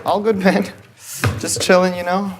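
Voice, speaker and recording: A man's voice: high voice, level tone, moderate pace, faint music behind. A man acting as a goofy, eager young suitor in a TV sitcom, with a slangy, pleading manner. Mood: sheepish, defensive. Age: young adult.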